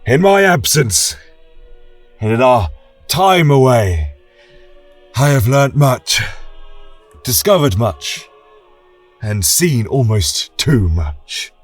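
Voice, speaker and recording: A man playing a weary, passionate warrior king with a rough voice, giving a solemn, declamatory speech to his people in a fantasy audio drama. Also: medium pitch, big pitch swings, slow pace, pauses often, voice pushed up. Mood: earnest, resolute. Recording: echoey room, music behind. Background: choral music.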